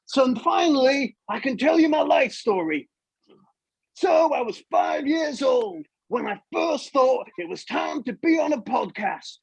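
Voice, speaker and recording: A man voicing an excitable, childlike, starstruck puppet in a gushing, exclamatory manner for a live puppet show. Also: extremely high pitch, measured pace, vocal fry, no echo, raised voice, pitched above the performer's own voice, full character voice, low-quality recording. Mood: overjoyed, starstruck.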